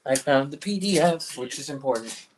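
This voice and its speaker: A man's voice: medium pitch, lively intonation, moderate pace. A man chatting casually as a cast member during a table reading. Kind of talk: casual chat. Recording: distant mic, faint background noise.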